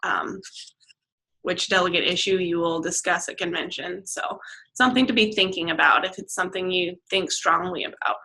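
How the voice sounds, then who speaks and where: A young woman serving as a state student officer, calmly explaining convention steps on a conference call, reassuring and dotted with "um"s. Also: fairly low pitch, moderate pace, creaky, rough recording. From Nebraska. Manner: friendly, reassuring, with frequent "um"s.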